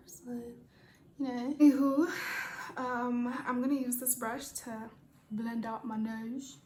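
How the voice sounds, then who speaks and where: A woman's voice: on the high side, a little mellow, slight background noise. A young woman from Rwanda chatting casually while doing her makeup and answering viewer questions, rambling with lots of fillers and offhand swearing. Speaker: beauty vlogger. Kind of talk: casual get-ready-with-me makeup chat and Q&A. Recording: echoey room.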